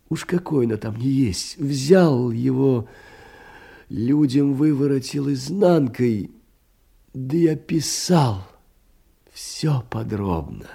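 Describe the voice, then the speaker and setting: An actor playing a tender, anxious, humble older clerk, speaking effusively and pleadingly in a theatrical monologue with folksy endearments. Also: male voice, on the high side, creaky, warm tone, husky, exaggerated voice. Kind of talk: theatrical stage monologue of a Russian classic.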